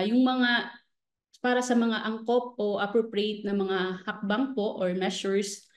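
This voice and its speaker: A woman's voice: mid-range voice, darkish tone. A Filipino woman giving explanatory interview answers as a government fisheries professional, mixing in technical terms. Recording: roomy, echoing voice.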